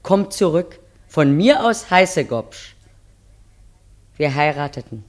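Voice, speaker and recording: A woman's voice: deep voice, lively intonation. Solemn, wistful woman narrator. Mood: bitter, solemn. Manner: measured, poetic, solemn. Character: wistful wife looking back, narrating to her husband. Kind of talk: dramatic first-person radio play narration.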